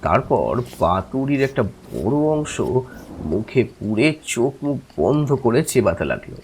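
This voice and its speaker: A man narrating a scripted audio story in the first person, with a wry, expressive delivery. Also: mid-range voice, takes it slowly, slight background noise.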